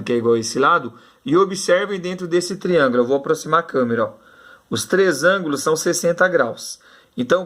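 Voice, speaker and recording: Male Brazilian teacher, slightly high and forceful voice, informal classroom-style explaining with frequent check-ins. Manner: colloquial, instructive, repeats key points and checks in often. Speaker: math teacher. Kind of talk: informal video lesson for schoolchildren.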